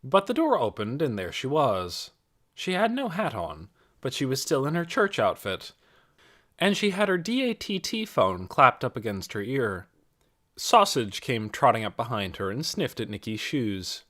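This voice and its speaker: A man narrating a novel as an audiobook reader, with careful, expressive storytelling delivery. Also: mid-range voice, measured pace.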